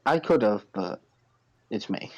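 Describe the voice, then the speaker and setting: A man's voice: on the low side, animated, takes it slowly, a little mellow, dull audio. A man co-hosting a podcast, giving his opinions on wrestling in casual chat. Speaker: podcast co-host.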